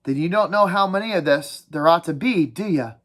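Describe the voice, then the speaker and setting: A woman's voice: on the low side, a little fast, lightly acted. Woman reading a curt boy leader. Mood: stern. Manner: curt, firm. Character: stern boy leader, impatient.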